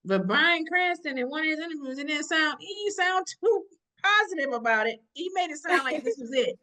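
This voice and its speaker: A woman co-hosting an interview, chiming in with lively comments and laughter. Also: high voice, a little fast. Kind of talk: casual interview chat.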